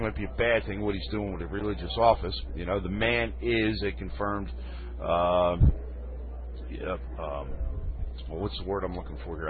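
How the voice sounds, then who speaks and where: Hoarse folksy radio host, tinny sound. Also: male voice, on the low side. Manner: folksy, rambling asides. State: losing his voice. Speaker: radio talk show host. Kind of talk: reading news and commenting. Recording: phone-like lo-fi radio.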